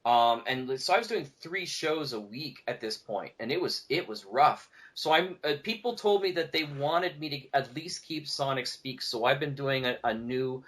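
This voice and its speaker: A man talking casually as an interview guest, telling stories in an animated way and acting out bits of dialogue. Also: medium pitch, moderate pace, hardly any pauses. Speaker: podcast guest, audio drama producer and show host.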